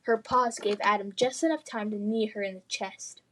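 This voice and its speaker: A woman reading children's fantasy fiction aloud in expressive, scripted narration. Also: mid-range voice, normal speed. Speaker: storybook reader.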